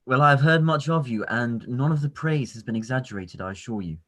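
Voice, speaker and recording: A man playing a genial, courteous Regency gentleman officer in a radio play, affable and courtly. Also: mid-range voice, a little fast, lightly acted. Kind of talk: radio play live read.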